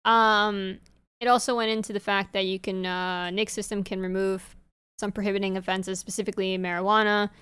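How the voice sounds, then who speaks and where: A woman co-hosting a podcast in casual chat, English not her first language, talking in an animated, rambling way with many fillers. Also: mid-range voice, brisk, smooth, no echo. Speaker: podcast co-host.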